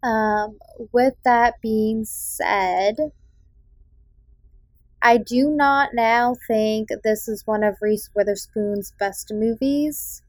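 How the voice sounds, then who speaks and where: A woman's voice: medium pitch, no echo, rough recording. A young adult woman from Massachusetts's South Shore with a faint Boston accent, hosting a casual movie review podcast in a chatty, opinionated way with frequent ums. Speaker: podcast host.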